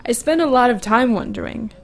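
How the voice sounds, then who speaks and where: A woman playing a vulnerable young woman confessing love in an intimate ASMR roleplay, halting and hesitant, often repeating her words. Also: on the high side, lively intonation, creaky. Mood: wistful, confused.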